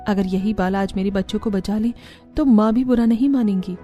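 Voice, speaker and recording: A woman's voice: medium pitch, fairly quick, warm tone, dull audio, soft background music, lightly acted. A woman voicing a resolute, caring young village mother in a Hindi cartoon story, earnest and plain-spoken. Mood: determined.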